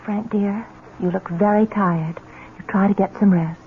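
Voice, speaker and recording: Actress as concerned young fiancee on tinny old radio. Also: female voice, medium pitch, fairly even intonation, measured pace, noisy background, close to an everyday voice. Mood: tender concern. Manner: soothing but questioning. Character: concerned, probing young fiancee, practical and affectionate. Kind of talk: vintage radio drama acting.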